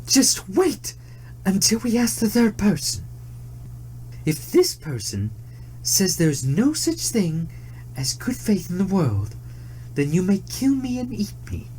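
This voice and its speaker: A performer plays a timid female musk deer from a storybook, pitched high and swooping as she pleads. She is polite and earnest, with noticeable noise behind her. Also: huge pitch swings, slow pace, long pauses, dark tone, low-quality recording. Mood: pleading.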